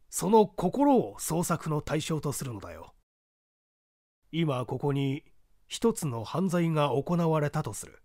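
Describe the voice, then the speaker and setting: A man's voice: a little high, dry recording, studio quality. A man voicing an opinionated professor of forensic medicine in a dramatic audiobook reading, declaiming in a didactic, emphatic way.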